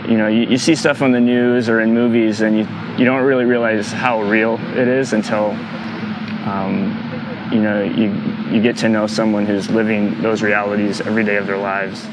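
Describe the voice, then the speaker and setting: A man with a somewhat low voice, speaking reflectively and a little haltingly. He pauses and restarts as he searches for words. Clear outdoor noise with passing traffic sits under the recording. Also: level tone. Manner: hesitant, many fillers and restarts, reflective. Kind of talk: interview reflection.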